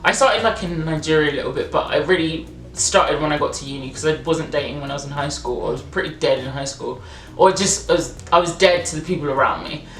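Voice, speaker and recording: A woman's voice: deep voice, quick pace, soft background music. Chatty British woman, roomy echo. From England. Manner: chatty, many fillers, animated. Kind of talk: casual chat.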